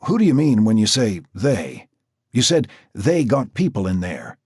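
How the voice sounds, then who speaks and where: A calm, steady grown man, voiced by a male narrator, firm but quiet as he asks questions one by one. His voice is a little husky, with lively pitch. The sound is dry and close. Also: a little slow, no room sound, heavily acted.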